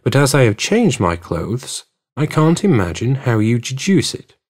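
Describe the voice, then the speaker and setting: A man voicing an earnest, puzzled gentleman doctor in an audiobook, polite and mildly exasperated. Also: medium pitch, very animated, moderate pace, slightly rough. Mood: baffled, exasperated. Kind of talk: audiobook character dialogue.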